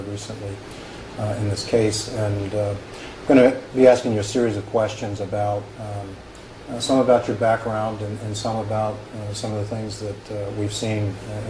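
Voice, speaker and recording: A man questioning a witness in a formal deposition, halting and full of hesitations and restarts. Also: fairly low pitch. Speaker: examining attorney. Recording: echoey room with background noise.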